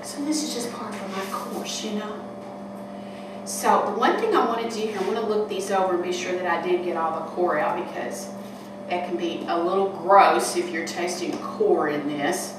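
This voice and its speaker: An older woman in her sixties talks through a recipe in a homespun, chatty way as she cooks. Her voice comes from some way off the microphone and rings around a big, hard kitchen hall. A steady hiss sits underneath. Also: fairly low pitch, normal speed. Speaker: cooking show host. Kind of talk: casual cooking demonstration. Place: large kitchen hall indoors. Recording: echoey room from far off, noisy.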